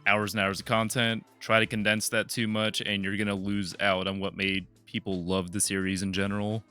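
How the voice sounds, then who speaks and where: A young man co-hosting a podcast, calmly explaining his view step by step. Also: on the low side, normal speed, faint music behind. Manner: explanatory, building arguments step by step. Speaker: podcast co-host. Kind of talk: casual chat.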